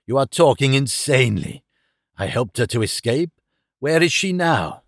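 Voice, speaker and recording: A man's voice: medium pitch, lively intonation, moderate pace, gravelly, dry recording, clean studio sound. A man voicing an old Russian professor, a scornful and agitated villain, with dramatic delivery in an audiobook story. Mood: agitated, defiant.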